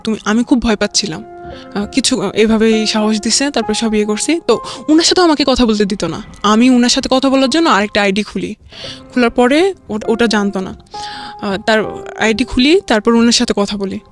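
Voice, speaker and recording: Halting young Bangladeshi woman telling her story. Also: on the high side, faint music behind. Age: young adult. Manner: halting, rambling, repeats filler phrases. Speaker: guest telling own life story. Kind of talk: personal storytelling in an interview.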